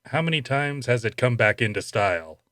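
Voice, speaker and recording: A man hosting a casual comic interview, asking playful questions in a deadpan way. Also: mid-range voice, fairly quick, no room sound, clean studio sound. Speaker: podcast host, interviewer.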